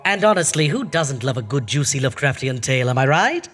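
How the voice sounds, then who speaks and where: Campy horror host: mid-pitched, very animated, theatrical, eerie yet easily exasperated, faint music underneath. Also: a little fast. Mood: spooky, gleeful. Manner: theatrical, punning, alliterative. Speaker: horror show host character. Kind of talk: campy horror anthology hosting.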